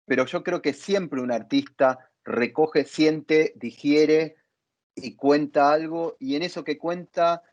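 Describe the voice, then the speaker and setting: A man hosting a reflective conversation, thinking aloud in deliberate, halting phrases. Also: a little high, darkish tone, dull audio. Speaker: interview host, theatre dramaturg.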